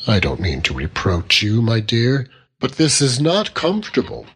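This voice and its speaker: A man voicing a fond, earnest young Victorian husband who gently reproves and reasons, in a storybook reading. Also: mid-range voice, huge pitch swings, normal speed, slightly rough, exaggerated voice. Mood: earnest, reproving.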